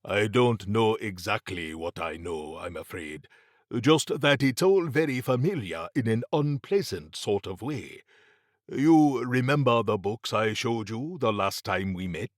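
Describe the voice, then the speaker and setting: A man voicing a wry, erudite gentleman occultist for an audiobook, speaking formally and deliberately with a faintly pompous, understated manner. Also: lowish voice, lively intonation, no room sound, clean studio sound. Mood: guarded, uneasy. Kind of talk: audiobook character acting.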